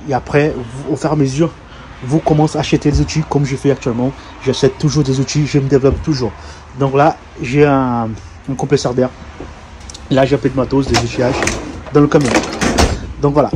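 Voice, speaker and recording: Brazilian-accented man, hesitant, outdoor noise. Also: mid-range voice. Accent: Brazilian Portuguese. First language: Portuguese. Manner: hesitant, many fillers and restarts. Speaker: heavy-truck mechanic and garage owner. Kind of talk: casual vlog tour and personal story. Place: outdoors at a truck yard and garage. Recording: outdoor street noise behind.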